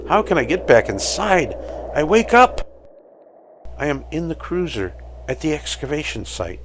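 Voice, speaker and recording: A man playing a weary, sleepless night-shift guard, telling his story as a measured dramatic monologue in an audio play. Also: a little high, sing-song, noisy background. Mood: startled, anxious. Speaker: narrator of a short audio play. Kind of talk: scripted first-person dramatic monologue.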